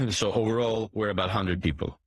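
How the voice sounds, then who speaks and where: A man in his forties with a low voice, who grew up in Turkey, talks through interview answers in a halting way, full of "um"s, restarts and throat clearing. The recording is close and dry, with no room sound. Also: no room sound. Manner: hesitant, many fillers and restarts, clears throat often. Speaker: boat dealership owner, guest.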